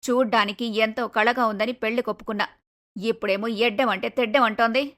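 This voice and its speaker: A woman playing a middle-aged, traditional mother-in-law, anxious and chiding, in an animated moral story. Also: a little high, fast talker, lightly acted. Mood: dismayed. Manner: chiding, pleading.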